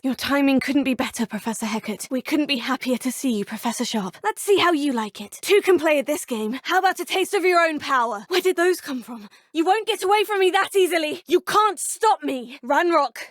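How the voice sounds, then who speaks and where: A woman's voice: high-pitched, animated, brisk, few pauses, dry recording, clean studio sound. A young woman voicing a game's player character, earnest and determined. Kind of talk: game voice acting. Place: recording studio.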